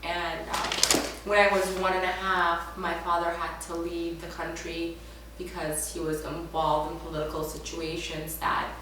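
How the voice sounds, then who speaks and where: A woman's voice: fairly low pitch, level tone, unhurried, bright, forceful tone. A young woman from Ethiopia, a native Amharic speaker, telling her life story in an interview. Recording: echoey room, distant mic, faint noise.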